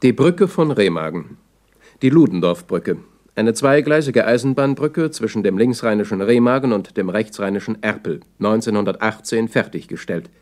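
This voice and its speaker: A man's voice: on the low side, lively intonation, normal speed, darkish tone. A man narrating a 1960s German radio play as a sober chronicler, with formal scripted announcing.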